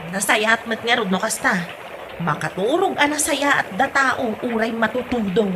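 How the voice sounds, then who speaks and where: A woman's voice: a little high, animated, normal speed, noisy background, natural voice. A woman playing a bossy, worrying wife in an amateur comedy audio drama, speaking in an urging, conversational way.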